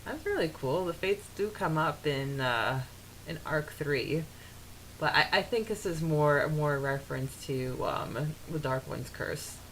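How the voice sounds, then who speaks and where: A woman co-hosting a podcast, chatting casually in a giggly, animated way as she discusses a story and reads out bits of it. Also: sits low, measured pace, poor audio. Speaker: podcast co-host. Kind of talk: casual fan discussion with read-out quotes. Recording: hissy background noise.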